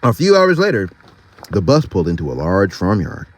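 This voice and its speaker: A man's voice: lowish voice, huge pitch swings, fairly quick. A man reading a storybook aloud, breaking off into slangy, informal asides and easily sidetracked. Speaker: storybook reader.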